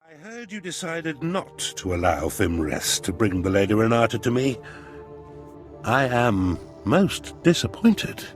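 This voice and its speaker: Game villain casting: smug duke, pompous, wildly swooping delivery, stretched key words, music underneath. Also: male voice, mid-range voice, big pitch swings. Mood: peeved, haughty. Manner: pompous, drawn-out emphasis. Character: scheming, smug, theatrical aristocratic duke. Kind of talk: video game character acting.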